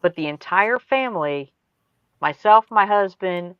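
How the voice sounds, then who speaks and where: A woman's voice: mid-range voice, expressive, measured pace, clear, resonant tone, dry recording, muffled recording. A woman with an Ohio accent making brief, matter-of-fact remarks in a casual group chat. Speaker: chat participant.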